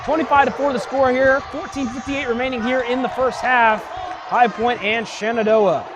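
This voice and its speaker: A young man does live basketball play-by-play for radio, with a very high, raised voice. He projects excitedly and often shouts his calls. A loud crowd and gym noise fill the space behind him. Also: normal speed. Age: young adult. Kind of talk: live radio sports commentary. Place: courtside in a basketball arena. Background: crowd, bouncing ball, gym noise.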